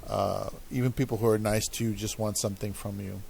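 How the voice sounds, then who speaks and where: A man's voice: fairly low pitch, little pitch movement, brisk, creaky, darkish tone, slight background noise. A man streaming a video game, rambling casually and musing aloud as he plays. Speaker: gaming streamer. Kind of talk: casual gameplay commentary.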